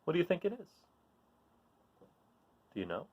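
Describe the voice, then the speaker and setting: Very animated man reading to children. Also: on the high side, moderate pace, husky, highs cut off. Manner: informal, question-asking, encouraging, with expressive delivery. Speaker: read-aloud storyteller. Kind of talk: reading a children's riddle picture book aloud, chatting to young listeners.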